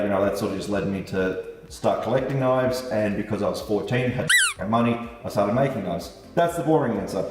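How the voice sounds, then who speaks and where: A low-voiced man, German his first language, talks to his viewers as a knife maker in a relaxed, chatty way, cursing now and then. He sits away from the mic, so the sound is distant and bounces around a hard, echoey room with a long tail. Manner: laid-back, chatty, swears casually. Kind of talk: casual vlog talk to viewers. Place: room with a light set up.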